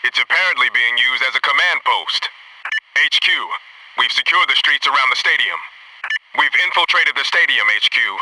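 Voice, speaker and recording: An actor playing a disciplined, alert American Navy SEAL in a military video game, giving clipped radio reports. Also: male voice, mid-range voice, expressive, normal speed, sharp tone, far from the mic, a little hiss or hum, rough recording. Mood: focused.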